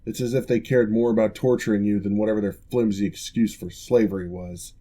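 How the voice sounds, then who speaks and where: Guy doing a calm formal orc ex-general, lowish mellow voice, measured and reassuring. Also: lightly acted. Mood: indignant. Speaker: physical therapist. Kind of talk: ASMR roleplay.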